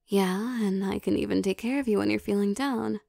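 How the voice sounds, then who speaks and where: Woman playing a soft, creaky-voiced vampire girlfriend. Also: medium pitch, no room sound, clean studio sound. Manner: breathy coaxing, playful, hesitant pauses. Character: affectionate, possessive, teasing young vampire girlfriend. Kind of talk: ASMR girlfriend roleplay, intimate and soft.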